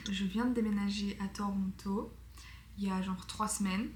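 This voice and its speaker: Girl in her 20s vlogging, kinda monotone, lots of euh, echoey room w faint hiss. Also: mid-range voice, moderate pace. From Belgium. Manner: chatty, many fillers, enthusiastic. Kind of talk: casual vlog chat.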